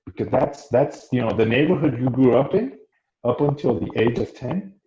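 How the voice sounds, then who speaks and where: A man hosting an interview show, his voice sitting at an ordinary middle pitch. He often restarts his sentences and asks several questions back to back. The room echoes around him, and the sound is dull with a faint hiss. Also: lively intonation, warm tone. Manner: talks slowly, stacks up questions with restarts. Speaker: podcast host. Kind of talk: interview podcast. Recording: roomy, muffled audio with faint noise.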